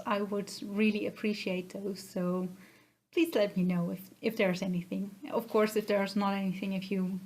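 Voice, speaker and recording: A woman with a Dutch accent hosts a casual vlog-style podcast. Her voice sits at a middling pitch for a woman. She talks in a hesitant, halting way and comes across as modest about herself, speaking directly to her viewers. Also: a little mellow. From Netherlands. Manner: hesitant, halting, self-effacing. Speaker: podcast host.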